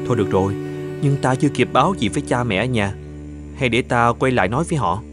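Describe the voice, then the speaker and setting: A man voicing an earnest, devoted young bridegroom in an animated folktale, questioning and polite. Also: mid-range voice, quick pace, close to an everyday voice. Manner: earnest, questioning, old-fashioned polite speech. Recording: music under the voice.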